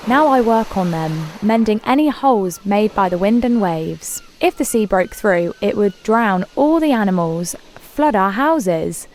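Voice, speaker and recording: A woman's voice: mid-range voice, animated, unhurried, hardly any pauses, a little mellow. A woman voicing an earnest, caring father in a children's story. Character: proud, caring father of a young boy, storybook.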